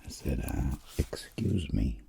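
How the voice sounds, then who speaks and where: A man voicing a boastful, roguish tingle-dealing world traveler in a whispered ASMR roleplay, telling rambling deadpan tales in a breathy, gravelly voice. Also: a bass voice, slow, noisy background, exaggerated voice. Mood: boastful, playful.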